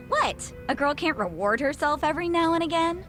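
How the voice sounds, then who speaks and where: A woman's voice: high-pitched, lively intonation, moderate pace, fairly bright tone, faint music behind. An actress playing a sassy, confident woman in a video game cutscene, teasing and breezy. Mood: assertive.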